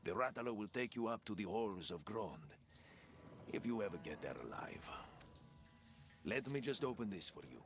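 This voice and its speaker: Gruff, scheming dungeon guide for a game; low, highly varied delivery, ominous. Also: male voice, animated, normal speed, pauses often, music in the background. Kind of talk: video game character acting.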